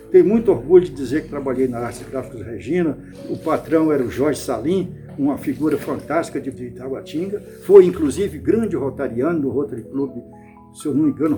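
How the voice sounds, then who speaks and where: An older man from Bahia, Brazil, recalling his past in an interview, unhurried and often restarting or repeating words. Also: on the high side, mellow. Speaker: interviewee, club founder. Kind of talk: reminiscing interview.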